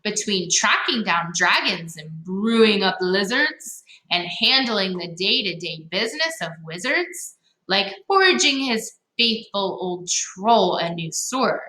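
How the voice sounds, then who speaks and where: A woman reading a storybook aloud to children, with expressive, dramatic emphasis and playful asides. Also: mid-range voice, slow. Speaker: read-aloud host for children. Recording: echoey, roomy sound.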